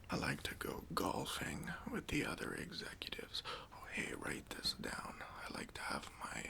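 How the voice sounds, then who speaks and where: A performer voicing a smug, self-important boss in a whispered ASMR job interview roleplay, condescending and awkwardly hesitant, with a breathy, gravelly whisper. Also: medium pitch, pushed and bright, heavily acted. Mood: entitled, dismissive.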